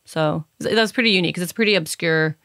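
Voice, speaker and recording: Woman, thirties, native Serbian speaker, low voice, dry studio sound, filler-heavy casual talk. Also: racing, a bit bright, dry recording. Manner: thoughtful, many fillers and restarts. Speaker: cinematographer guest. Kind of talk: casual interview chat. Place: dark podcast studio. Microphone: studio mic on boom arm, headphones.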